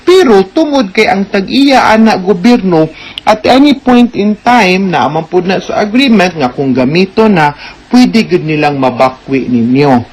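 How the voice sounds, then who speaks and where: A woman's voice: mid-range voice, animated, measured pace, darkish tone, rough recording. A woman with a Cebuano Filipino accent explains things as a legal advice host on radio, conversational, checking in with the listener and mixing in English. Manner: explanatory, with frequent tag questions.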